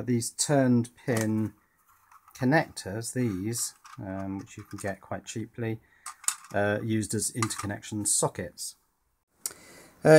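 A man's voice: fairly low pitch, a little mellow. A man hosting an electronics build, talking informally through hands-on work and thinking aloud with some hesitation. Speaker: host of an electronics project video. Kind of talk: informal hands-on workshop commentary while building. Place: indoors at a workbench.

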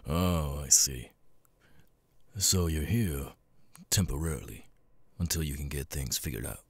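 Guy doing a super low raspy grumpy old-soul cat demon bartender, tired of it all, asmr roleplay, dry close mic. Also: no room sound, exaggerated voice. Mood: weary, matter-of-fact. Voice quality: raspy, very deep gravelly voice. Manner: gruff, laconic, casual swearing, drawn-out pauses. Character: gruff, world-weary male cat demon bartender. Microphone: close, dry studio mic.

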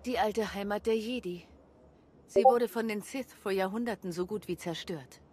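A woman playing a calm, confident military commander in a video game, composed and dutiful. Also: mid-range voice, normal speed, husky, natural voice. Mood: attentive. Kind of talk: German video game voice acting.

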